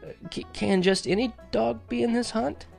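Man playing an eager country boy, high pitch. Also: steady tone, normal speed, gravelly, darkish tone, soft background music, heavily acted. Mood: stunned, eager. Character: eager, wide-eyed young country boy narrating hero.

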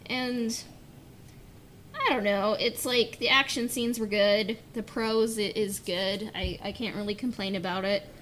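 A woman's voice: medium pitch, fairly even intonation, a little slow, a bit bright. A woman chatting casually on a fan discussion podcast, informal and hedging, with illustrative asides. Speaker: podcast panelist. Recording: clear background noise.

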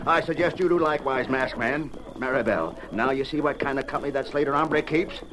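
A man playing a stubborn, quick-tempered rancher father with a gruff, blustering American western drawl, in old radio drama style. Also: mid-range voice, lively intonation, background noise, lightly acted. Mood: angry.

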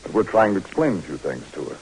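A man's voice: deep voice, sing-song, quick pace, creaky, darkish tone, a little hiss or hum, full character voice, poor audio. An actor playing a firm, fatherly military superior in a vintage radio drama, earnest and reassuring. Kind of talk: 1940s-50s radio drama acting.

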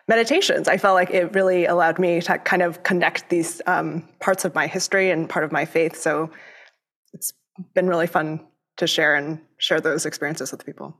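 A young woman, born in Hong Kong and raised in Florida, speaking as a lay youth minister in an interview, thoughtful and pausing often with ums. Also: on the low side, steady tone, a bit bright. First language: Cantonese. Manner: thoughtful, with many ums and pauses. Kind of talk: interview conversation.

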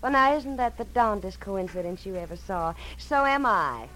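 An actress playing a cool, sassy gun moll with a Southern US accent and mock-sweet drawl in a 1940s radio drama. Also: female voice, mid-range voice, animated, moderate pace, a bit bright, slight background noise, natural voice, low-quality recording. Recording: vintage radio drama recording.

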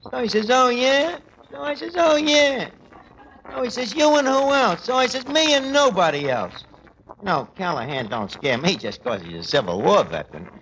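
A man's voice: very high-pitched, big pitch swings, normal speed, a bit bright, heavily acted. A man playing a garrulous, streetwise tavern manager with a New York accent who mangles big words, in a 1940s radio comedy style. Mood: indignant storytelling. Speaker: comic lead of a radio comedy. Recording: noisy old 1940s radio recording.

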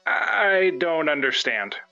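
A man's voice: on the high side, big pitch swings, slow, creaky, sharp tone, faint music behind, exaggerated voice. A man playing a bewildered caller to a talk show, hesitant and confused, in a dramatic reading. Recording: dull lo-fi stream audio.

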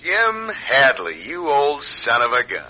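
A man's voice: medium pitch, huge pitch swings, bright, forceful tone, exaggerated voice. A smug, taunting blackmailer in an old radio suspense play. His voice is very piercing and swoops widely as he needles with false chumminess, on a lo-fi, muffled recording. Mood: mock jolly. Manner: drawn-out, needling, mock-friendly. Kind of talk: classic radio suspense acting. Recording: dull lo-fi radio, faint noise.

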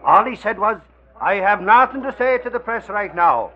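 Excitable high-voiced newspaper reporter. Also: male voice, moderate pace. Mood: animated, puzzled. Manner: slangy, folksy delivery. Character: excitable talkative newspaper reporter, eager and plain-spoken. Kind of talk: 1940s radio acting. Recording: dull old radio recording.

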